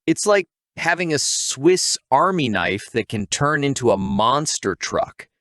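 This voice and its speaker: A man's voice: medium pitch, big pitch swings, measured pace, a bit bright, no room sound, clean studio sound. A man narrating a scripted comic story recap in a conversational, wisecracking way, with asides to the listener.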